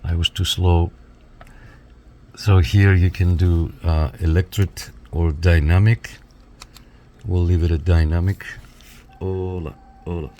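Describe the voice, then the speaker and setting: A man's voice: low voice, steady tone, slow pace, long pauses, warm tone, a little hiss or hum. A man reviewing a piece of equipment, casually talking viewers through it step by step in an instructional way. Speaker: radio reviewer giving a demonstration. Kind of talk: casual hands-on product walkthrough.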